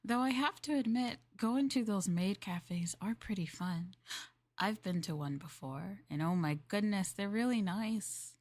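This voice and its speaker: A woman's voice: medium pitch, unhurried, darkish tone. A woman streamer playing a maid in a roleplay, chatting with viewers in a playful, teasing and politely formal way.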